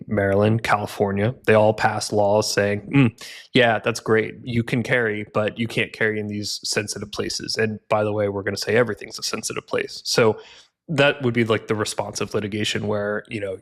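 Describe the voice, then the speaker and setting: Podcast guest, male: low gravelly voice, even delivery, patient legal explainer. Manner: measured, methodical, legal explanations. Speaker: guest from a gun rights legal group. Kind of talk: explaining litigation in a podcast interview.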